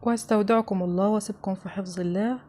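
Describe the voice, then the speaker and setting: A woman's voice: mid-range voice, fairly even intonation, racing, darkish tone, slight background noise. A woman with an Egyptian accent hosting a home cooking show, chatting familiarly to viewers as she narrates a recipe step by step.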